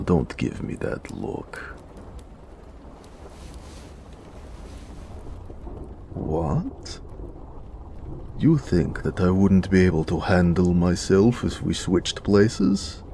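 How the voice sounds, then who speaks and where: Guy doing a deep flirty orc blacksmith, super slow teasing delivery, gravelly, romance audio roleplay. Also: darkish tone, a little hiss or hum, exaggerated voice. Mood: playful teasing. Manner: playful, flirtatious, frequent chuckling. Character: big male orc blacksmith, teasing, doting lover.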